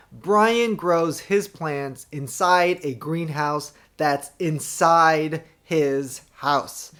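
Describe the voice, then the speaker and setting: A man hosting the show, giving a relaxed, chatty introduction that trails off at times. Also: on the high side. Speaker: host.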